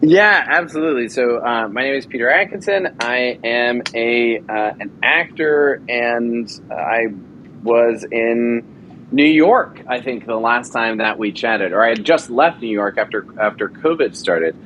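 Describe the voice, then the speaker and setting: A man's voice: medium pitch, unhurried, a bit bright, lo-fi sound, a little hiss or hum. A man in his thirties, an actor and producer, telling stories eagerly as an interview guest and using many fillers. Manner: enthusiastic storyteller with frequent fillers. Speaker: actor and audio drama producer. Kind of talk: casual interview chat.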